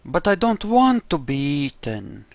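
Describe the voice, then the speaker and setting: A man's voice: a little high, big pitch swings, unhurried, creaky, no echo. A man giving casual, conversational commentary as he plays a video game, with a sweary streak. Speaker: gamer, playthrough host.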